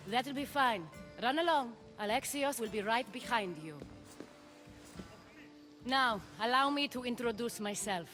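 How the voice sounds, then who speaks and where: Poised, shrewd society hostess. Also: female voice, a little high, unhurried, a bit bright, faint music behind. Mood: poised, wry. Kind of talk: video game dialogue acting.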